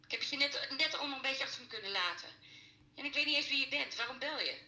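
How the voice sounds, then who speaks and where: An actress playing a gruff, wary middle-aged former café landlady in an audio drama, blunt and scornful with folksy turns of phrase. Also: high-pitched, animated, fast talker, pushed and bright, echoey room, slight background noise, exaggerated voice, rough recording. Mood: bitter, scornful. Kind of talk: naturalistic audio drama dialogue.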